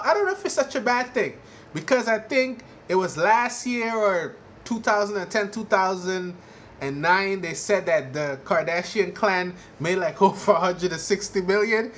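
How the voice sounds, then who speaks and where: A man giving casual, rambling fan commentary in a halting, repetitive way, often leaning on "you know". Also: high-pitched, a bit bright. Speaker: fan vlogger giving a TV show reaction. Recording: clearly noisy amateur recording.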